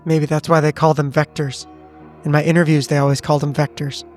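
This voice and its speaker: A man improvising in character as a tabletop game player, speaking in a measured, analytical way. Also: a little high, little pitch movement. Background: background music.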